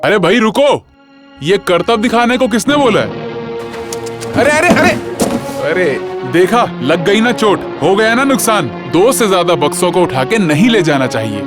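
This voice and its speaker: A man's voice: sits high, lively intonation, normal speed, hardly any pauses. A man playing a strict warehouse supervisor in a training film, scolding and instructing workers. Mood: alarmed, scolding. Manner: scolding, didactic. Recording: loud music behind the voice.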